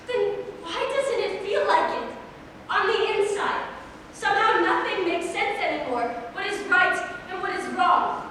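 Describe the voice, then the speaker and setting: A woman's voice: very high-pitched, unhurried, pushed and bright, a little hiss or hum, full character voice. A woman playing a proud, guilt-torn young queen in an impassioned staged reading of a musical drama. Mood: torn, doubting. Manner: theatrical, impassioned delivery. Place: indoor stage hall, recorded from afar. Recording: distant mic in echoey hall, music.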